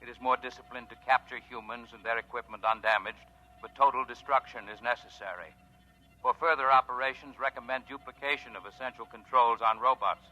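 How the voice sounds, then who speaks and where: A man's voice: mid-range voice, steady tone, fairly quick, pushed and bright, lo-fi sound. A man playing a methodical alien director in a 1950s radio drama, dictating a formal report in a clipped, impersonal, processed voice. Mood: cold, methodical. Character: cold, methodical alien planet director plotting destruction of colonists. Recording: old band-limited 1950s radio recording.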